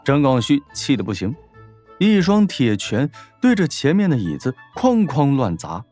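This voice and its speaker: A man's voice: medium pitch, huge pitch swings, normal speed, darkish tone, soft background music. A man narrating a scripted story as an audiobook narrator, in a dramatic storytelling style.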